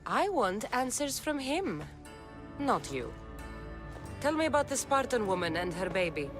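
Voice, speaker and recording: An actress playing a blunt, sardonic young mercenary warrior of ancient Greece in a dramatic video game, questioning people bluntly. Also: female voice, on the high side, very animated, a little slow. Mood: cold, accusing. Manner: blunt, probing. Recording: loud music behind the voice.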